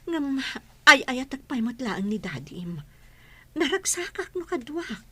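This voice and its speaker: A defensive, lovestruck mother in a radio drama. Her bright voice leaps about in pitch, recorded close and dry. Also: very animated, unhurried, slightly rough, no echo, full character voice. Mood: defensive, pleading. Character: lovestruck, defensive mother torn between two men. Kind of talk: Ilocano radio drama acting.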